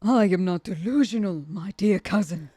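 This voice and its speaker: A woman acts out a stubborn female assassin in an audio drama. She sounds exasperated and blunt, with an aloof, dismissive edge. Her mid-pitched voice is captured close and dry. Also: normal speed, dark tone, husky, dry recording, lightly acted. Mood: indignant. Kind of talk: ASMR audio roleplay acting.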